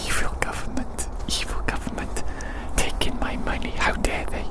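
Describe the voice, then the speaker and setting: An ASMR YouTuber, whispering a rambling monologue in a breathy, unvoiced voice and softly repeating phrases. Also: moderate pace. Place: outdoors in winter woods.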